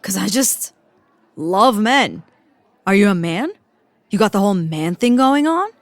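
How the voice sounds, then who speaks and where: An actress playing Mackenzie, a surly female agent who talks bluntly and deadpan in an audio comedy. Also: mid-range voice, a little slow, no room sound, close to an everyday voice.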